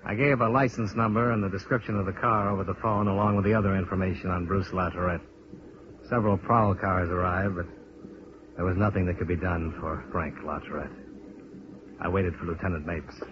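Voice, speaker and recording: An actor playing a steady, tough investigator in a 1950s radio detective drama, clipped and matter-of-fact. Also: male voice, sits low, brisk, close to an everyday voice. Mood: grim, matter-of-fact. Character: steady, tough investigator hero who narrates his case. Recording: noisy, dull old radio recording.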